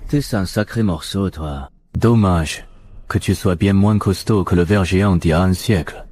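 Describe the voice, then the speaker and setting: Man as a low-voiced lazy sardonic hero. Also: moderate pace, darkish tone, a little hiss or hum, close to an everyday voice. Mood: mocking, nonchalant. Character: lazy, mocking, overpowered male hero, unimpressed. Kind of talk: animated series dub in French.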